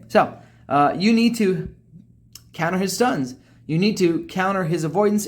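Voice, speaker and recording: A young man commenting over a strategy game, emphatic and animated, ending points with "okay?", recorded on a webcam indoors. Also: on the high side. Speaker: game guide creator. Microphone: webcam facecam over screen capture.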